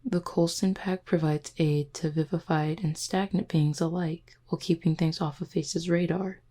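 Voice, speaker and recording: A woman's voice: sits low, fairly even intonation, normal speed, dark tone. A woman playing a flirty, confident werewolf who teases her listener in an intimate roleplay audio drama. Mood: flirty, sincere. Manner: teasing, flirtatious, smooth-talking. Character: flirty, teasing, confident female werewolf pack beta.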